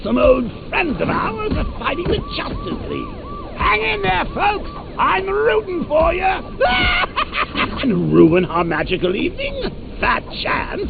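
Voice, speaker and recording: A man's voice: very high voice, wildly swooping pitch, slow pace, gravelly, narrow, tinny audio, heavy background noise, full character voice. A man voicing a manic, mocking male clown villain in a video game, cackling and swinging his delivery wildly. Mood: taunting. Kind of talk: game voice lines.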